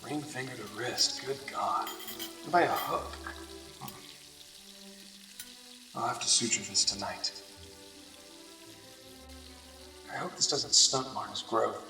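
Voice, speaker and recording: A man's voice: medium pitch, moderate pace, vocal fry, roomy sound, natural voice. Man acting as proud doctor, distant, music behind. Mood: brooding, determined. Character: educated, ambitious male doctor, patient but proud and irritable. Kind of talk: radio drama acting with inner monologue.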